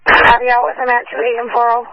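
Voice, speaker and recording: A woman's voice: fairly high pitch, monotone, fast talker, dry recording. A woman with an American accent working as a police dispatcher, giving terse, clipped, procedural calls over a police radio. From United States. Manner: clipped, procedural, reciting numbers. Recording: muffled lo-fi police scanner radio.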